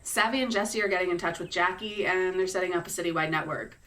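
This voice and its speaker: Woman doing a lowish, sarcastic scrapper itching for a fight, bit far from the mic. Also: fast, fairly bright tone, lightly acted. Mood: sardonic. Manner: blunt, sardonic, impatient. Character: blunt, fight-hungry woman fighter with guns and magic. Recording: a little off-mic.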